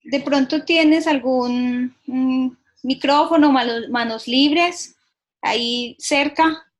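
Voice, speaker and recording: A woman with a fairly high voice moderates a video conference. She speaks politely and formally and pauses now and then while introducing a guest. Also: poor audio. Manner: slightly hesitant, polite and formal. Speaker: host, moderator.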